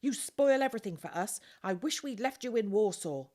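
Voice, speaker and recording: Woman as a bossy, scolding girl, raised and forceful. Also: mid-range voice, animated, fairly quick, pitched above the performer's own voice, close to an everyday voice. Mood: angry scolding. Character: bossy, sharp-tongued girl, fiercely protective elder sister. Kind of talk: audiobook character voice, children's novel.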